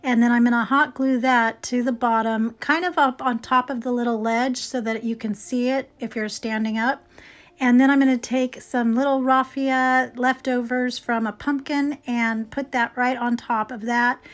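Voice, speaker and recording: A woman hosting a craft tutorial, explaining each step in a chatty voice-over, her voice slightly hoarse. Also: on the high side, fairly even intonation, normal speed. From California. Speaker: DIY craft channel host.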